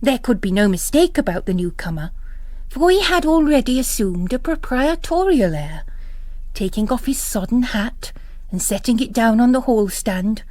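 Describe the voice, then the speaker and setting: A woman's voice: a little high, lively intonation, normal speed, darkish tone, no echo. A woman giving a scripted literary narration of a novel.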